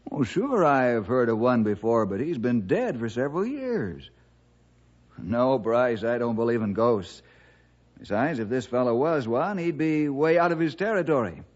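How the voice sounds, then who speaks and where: An actor playing an earnest, upright forest ranger in an old radio drama, speaking in a measured, fatherly way. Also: mid-range voice, very animated, darkish tone. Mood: businesslike. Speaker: ranger and lead character. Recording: dull, band-limited old radio recording.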